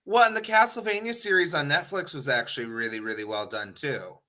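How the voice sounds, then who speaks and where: A man's voice: on the high side, brisk, sharp tone. A man chatting casually and opinionatedly as a talk show panelist, rambling, emphatic and loose with swearing.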